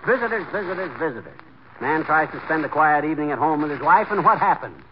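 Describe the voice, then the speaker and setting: An actor playing a grumbling, restless middle-aged husband in a folksy, blustering way in a 1940s radio comedy. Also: male voice, fairly high pitch, expressive, fast, close to an everyday voice. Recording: muffled, very noisy 1940s radio recording.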